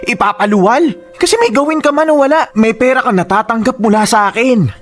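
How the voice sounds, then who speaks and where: An actor playing a controlling, proud husband in a radio drama, defensive, exasperated and fed up. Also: male voice, very high voice, fairly quick. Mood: proud, scornful. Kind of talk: Tagalog radio drama acting. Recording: music behind the voice.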